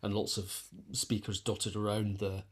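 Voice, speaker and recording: Low-voiced man, thoughtful and halting. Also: monotone, husky. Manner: thoughtful, halting, self-correcting. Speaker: interviewed composer and musician. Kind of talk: reflective conversational interview.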